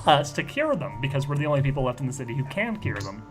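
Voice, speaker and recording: Guy playing a tabletop rpg, mid-pitched voice, informal improvised talk, music behind him. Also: big pitch swings, fast talker. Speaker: tabletop role-playing game player. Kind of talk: casual in-character improvised tabletop game talk.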